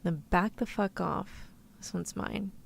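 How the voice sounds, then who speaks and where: Woman acting a creaky-voiced, tough, protective rescuer gal. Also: mid-range voice, slow, slight background noise. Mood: menacing, possessive. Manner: casual slangy reassurance, turning threatening. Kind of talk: ASMR roleplay.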